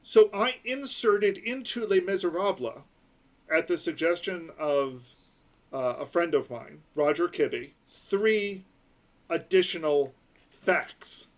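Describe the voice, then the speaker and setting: Man explaining tech in a fairly high voice. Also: expressive. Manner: explanatory, breaks numbers into slow pieces. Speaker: podcast co-host. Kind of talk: casual tech chat.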